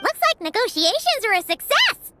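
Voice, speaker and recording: A woman voicing a small, cheerful, childlike fairy companion with a squeaky voice in a video game. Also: very animated, normal speed, sharp tone, soft background music, exaggerated voice.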